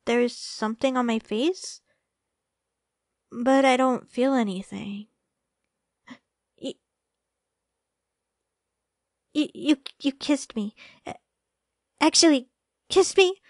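A high, close and dry young female voice acted by a woman plays a sweet girlfriend in a romantic ASMR roleplay. She is shy and affectionate, speaking softly and hesitantly, easily flustered. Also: dry recording. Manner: soft, hesitant, affectionate, stammering when flustered.

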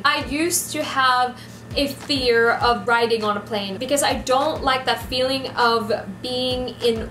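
A 27-year-old woman from the Philippines with a Filipino accent and Ilonggo as her first language, chatting casually to camera in an animated way as she lists facts. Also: fairly high pitch. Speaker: vlogger. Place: small decorated room indoors. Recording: roomy echo, music behind.

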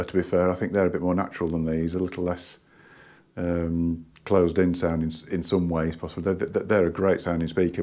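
A man in his fifties with a northern English accent, hoarse from a cold, casually reviewing hi-fi speakers at home in a rambling, hesitant way full of ums and restarts. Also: low voice, dark tone. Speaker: hi-fi shop owner reviewing speakers. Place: living room at home, indoors.

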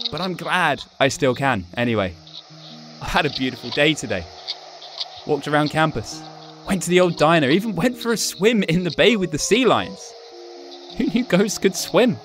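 An actor plays a gentle young man's ghost resigned to his death. His talk is hesitant and conversational with lively intonation. Faint music sits under this radio drama. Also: mid-range voice, natural voice. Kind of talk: supernatural radio drama acting.

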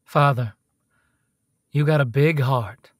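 An actor in a radio play playing a hard-nosed, insinuating Pinkerton detective, pushy and coolly threatening. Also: male voice, medium pitch, takes it slowly, dry recording, clean studio sound, close to an everyday voice. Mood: accusing.

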